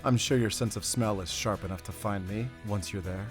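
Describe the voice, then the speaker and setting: An actor playing a weary but determined, defiant werewolf hunter in a cinematic audio drama, speaking in an earnest, measured, tense way. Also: male voice, lowish voice, soft background music, lightly acted. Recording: noisy outdoor night ambience.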